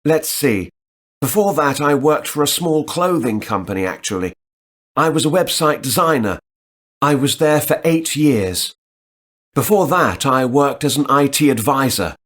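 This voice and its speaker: A man playing a job applicant in a scripted listening dialogue, answering questions in a relaxed way and thinking aloud. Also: mid-range voice, measured pace.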